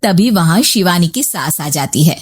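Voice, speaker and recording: A woman's voice: fairly low pitch, animated, moderate pace, husky. A woman reading scripted narration for a moral family story.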